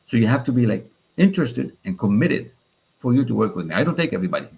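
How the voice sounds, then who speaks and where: Man, coach and host, persuasive, gravelly, husky. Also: medium pitch, very animated, fast talker, a little mellow. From Lebanon. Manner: persuasive, repeats phrases for emphasis. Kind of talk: sales pitch on a livestream.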